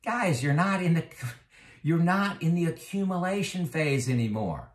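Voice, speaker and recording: A man's voice: on the high side, expressive, moderate pace, reverberant. A man in his fifties talking casually about his own finances, conversational with frequent fillers and growing emphatic when he makes a point. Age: 50s. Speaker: retirement finance vlogger. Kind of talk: casual explanatory talk to camera.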